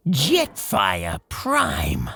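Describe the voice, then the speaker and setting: A woman voicing an imperious robot in an animated series, gravelly and husky, with a formal, theatrical delivery. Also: very deep voice, extremely slow, heavily acted. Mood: intent. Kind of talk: dramatic animated-series acting.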